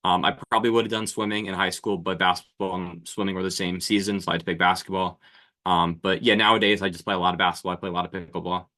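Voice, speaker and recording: Man, twenties, podcast guest, rapid, hesitant, bright tone. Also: low-pitched, flat delivery. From New Jersey. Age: 20s. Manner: hesitant, many fillers and restarts. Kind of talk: casual chat.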